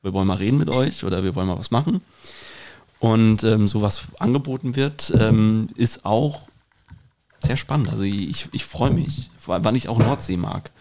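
A man's voice: on the low side, normal speed, a little mellow. A man co-hosting a podcast from a kitchen, chatting casually and searching for his words with a wry touch. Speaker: podcast co-host. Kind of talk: casual chat. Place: kitchen at home. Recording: clear background noise, some clicks.

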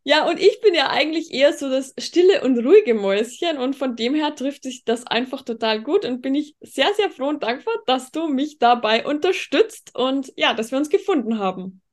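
A high-pitched woman from Tyrol co-hosts a casual podcast. Her Austrian accent is Tyrolean, with a rolling R and a hard, throaty K. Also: expressive, moderate pace, hardly any pauses. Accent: Tyrolean Austrian German. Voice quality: strongly rolled R, harsh throaty K. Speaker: podcast co-host.

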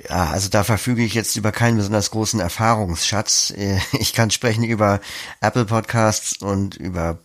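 A man with a fairly low, creaky voice gives conversational interview answers as a podcast producer. He explains step by step with practical examples, recorded close and dry. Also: normal speed, no echo.

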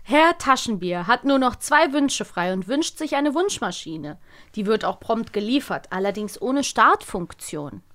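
Female podcast co-host, slightly high, animated, cheerful quiz host. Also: a little slow. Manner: cheerful, laughs easily. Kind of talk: casual chat and quiz hosting.

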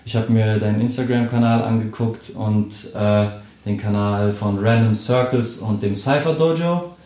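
A man's voice: fairly low pitch, moderate pace, dark tone. A man hosting a podcast, reading a scripted intro and then asking his guest friendly, casual questions with plenty of fillers. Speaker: podcast host. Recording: roomy echo.

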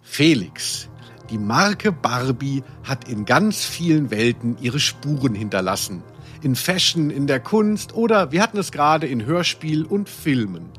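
A man's voice: medium pitch, big pitch swings, measured pace, fairly bright tone, faint music behind. A man co-hosting a podcast, playing quizmaster in casual, teasing banter with a mock game-show delivery.

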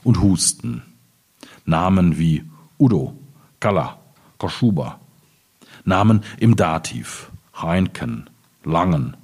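A man from Germany's Ruhr region, a poet at a literary reading, speaking in a husky, deliberate, measured way. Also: deep voice, expressive, a little mellow. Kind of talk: poetry reading with informal commentary.

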